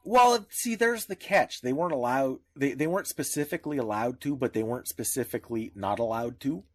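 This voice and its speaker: A Canadian man co-hosts a casual discussion in a mid-pitched voice. He repeats words and restarts phrases as he works toward his point. The sound is dry, with very little room in it. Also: expressive, brisk, no room sound. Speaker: podcast co-host. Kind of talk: casual discussion of media law.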